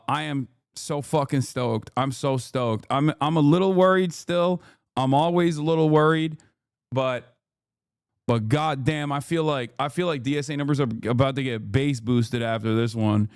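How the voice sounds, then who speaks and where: A man's voice: medium pitch, a little slow, a little mellow, clear, resonant tone, no room sound, clean studio sound. A man in his thirties with a Texan accent, hosting a live stream with hyped, profane, rambling commentary. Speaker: streamer host.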